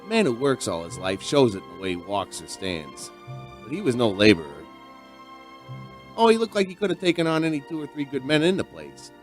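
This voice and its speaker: Chatty neighborhood barkeep for radio drama: folksy, rambling, mid-pitched, very varied intonation, music underneath. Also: male voice, wildly swooping pitch, brisk. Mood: reflective, curious. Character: chatty, folksy male bartender and bar owner, storyteller.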